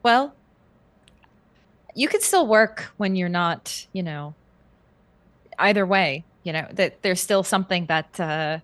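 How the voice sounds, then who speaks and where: A woman playing a tabletop game, chatting casually in a tentative, halting way. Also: on the low side, lively intonation, fairly bright tone, dry recording. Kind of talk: casual roleplay chat.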